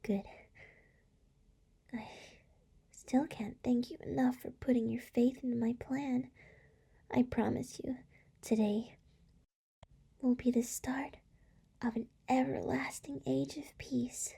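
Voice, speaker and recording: A woman voicing a seductive, tender demon lord in an intimate ASMR roleplay, speaking softly and coaxingly with a breathy, often whispering voice. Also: high voice, fairly even intonation, heavily acted. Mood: tender, grateful. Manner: slow, soft, coaxing. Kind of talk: scripted ASMR roleplay, intimate address to listener.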